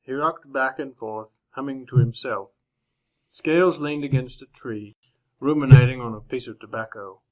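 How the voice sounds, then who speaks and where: An actor playing a reserved, humane gentleman traveler in a radio Western, speaking in a measured, formal manner. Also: mid-range voice, lightly acted, low-quality recording. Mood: measured, narrating. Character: proper gentleman traveler narrating his Wild West journey, reserved, humane. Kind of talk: old-time radio drama narration and dialogue.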